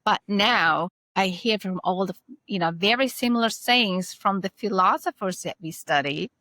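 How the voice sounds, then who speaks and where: A woman in her forties, raised in Germany, with a Turkish-German accent, hosting a casual podcast conversation in an animated, emphatic way. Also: mid-range voice, moderate pace, dry recording. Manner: animated, emphatic, repeats herself. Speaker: podcast host.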